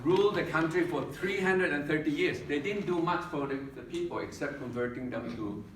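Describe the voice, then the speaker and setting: A man with a Filipino accent giving a humorous prepared speech at a contest, joking in a dry way and pausing for laughs. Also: on the high side, a little hiss or hum. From Philippines. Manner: deadpan joking with pauses for laughs. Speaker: contest speaker. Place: meeting hall. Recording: echoey room from a distance.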